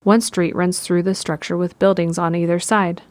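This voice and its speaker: Low-pitched woman narrating. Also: level tone, normal speed. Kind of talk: scripted audio drama narration.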